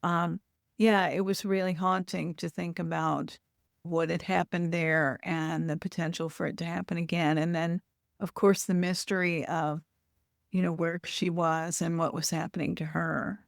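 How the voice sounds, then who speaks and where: A woman in her sixties from Louisville in the United States, giving thoughtful, reflective interview answers and often pausing to rephrase. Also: on the low side, flat delivery, gravelly, no room sound, studio quality. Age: 60s. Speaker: author, interview guest.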